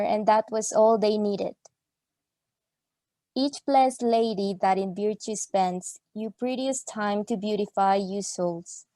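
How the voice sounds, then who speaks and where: A woman's voice: medium pitch, dark tone, dry recording, close to an everyday voice. A woman playing an exasperated young student in a radio play, declaiming in mock Shakespearean English. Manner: declamatory, archaic phrasing.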